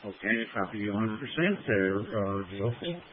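A relaxed man reads out call signs and chats in a friendly way during a ham radio contact. His voice sits low, and it comes through a tinny radio channel over a faint hiss. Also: normal speed. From USA. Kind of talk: amateur radio contact chat. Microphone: digital radio app on phone.

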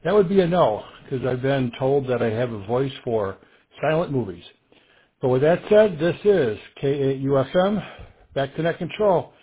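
Man on ham radio, raspy voice, tinny band, cheerful. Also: medium pitch, expressive, moderate pace. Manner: cheerful, self-deprecating check-in. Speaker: radio net caller. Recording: husky voice on a narrow radio band.

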